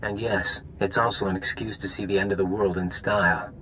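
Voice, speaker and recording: A man playing a suave, idealistic conspirator villain in a video game, smooth and theatrical in his talk. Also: deep voice, steady tone, brisk, bright, forceful tone, a little hiss or hum, low-quality recording. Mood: cordial, wry. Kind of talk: video game dramatic acting.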